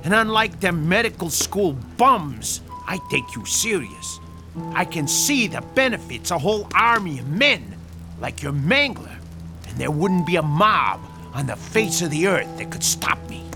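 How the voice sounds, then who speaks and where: Brief: a man voicing a streetwise mob boss, gravelly and high-set, slow and lively in pitch, music score behind. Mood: gruff. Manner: gruff, streetwise, menacing. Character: tough 1930s Chicago male mobster boss. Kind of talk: audio drama acting.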